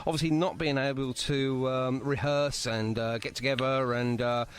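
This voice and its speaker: A man's voice: mid-range voice, fairly even intonation, normal speed, smooth. A British man hosting a casual, chatty band interview with a jokey, bantering manner. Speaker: radio podcast host.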